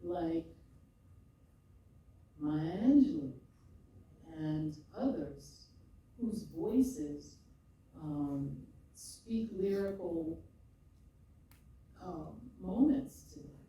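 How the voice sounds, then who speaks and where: Older woman, expressive, echoey distant hall. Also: low-pitched, extremely slow, long pauses. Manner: expressive, rhythmic, laughs often. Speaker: poet and podcaster. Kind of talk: reflective conversational storytelling. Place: event room before a live audience. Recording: echoey hall, mic far off.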